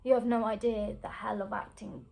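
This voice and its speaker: A woman performing a naturalistic dramatic monologue as a weary, fragile young actress who is wounded but striving for faith, speaking in halting, broken phrases with long pauses. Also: mid-range voice, fairly even intonation, vocal fry, warm tone, close to an everyday voice. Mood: anguished, bitter. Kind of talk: naturalistic screen-acting stage monologue.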